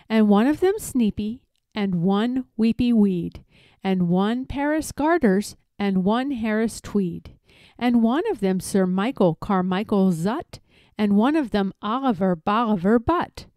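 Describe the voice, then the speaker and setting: A woman reading rhyming children's stories aloud in an expressive, theatrical storytelling manner. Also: medium pitch, no room sound. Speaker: storybook reader.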